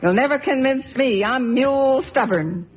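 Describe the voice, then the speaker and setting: A woman playing a stubborn, feisty old spinster who scolds in folksy, sharp-tongued turns of phrase. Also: sits high, animated, moderate pace. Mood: scolding. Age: older. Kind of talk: radio drama acting. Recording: dull recording under loud music.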